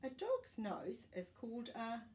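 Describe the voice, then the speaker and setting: A woman, reading a children's book aloud in a deliberate, explanatory way with asides. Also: on the high side, wildly swooping pitch, darkish tone. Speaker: storytime presenter reading to children. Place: small living room, indoors. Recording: faint room noise.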